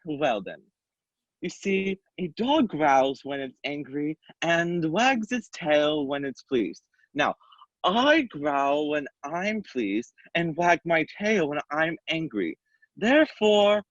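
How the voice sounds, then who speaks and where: Woman doing a mad grinning cat for a story play, slow n teasing, very swoopy, bit muffled. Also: sits low, very animated, no room sound, full character voice. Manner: teasing, leisurely, chuckling. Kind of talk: dramatic reading of a children's classic.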